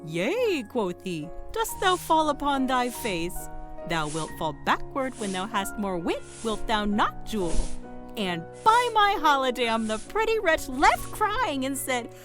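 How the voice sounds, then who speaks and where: A woman acting a chatty old Shakespearean nurse with a high, swooping voice. She is bawdy, fond and theatrical, rambling through her words over prominent music. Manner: rambling, theatrical, chuckling storytelling. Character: garrulous, bawdy, fond older nurse woman, loyal servant. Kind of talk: Shakespearean audio play acting.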